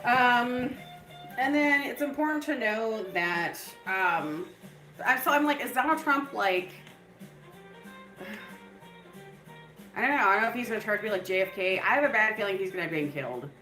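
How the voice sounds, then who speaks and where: A woman's voice: a little high, expressive, moderate pace, long pauses, bright, forceful tone, reverberant, soft background music, rough recording. A woman hosting a live stream, talking in a loose, rambling way full of fillers. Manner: breaks into laughter. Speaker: livestream host.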